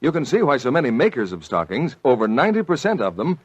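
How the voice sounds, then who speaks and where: An American man announcing and pitching a product in polished, scripted 1940s radio style, with a husky voice. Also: very animated, fast talker, lo-fi sound. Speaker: announcer and ad spokesman.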